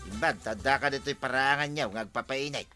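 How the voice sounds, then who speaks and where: An actor playing an insistent gambler in an audio drama, whining and pressing to borrow money. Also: male voice, mid-range voice, brisk, bright, forceful tone, soft background music, natural voice. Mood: wheedling. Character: wheedling gambler pestering his friend for a loan. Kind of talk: Ilocano radio-style audio drama acting.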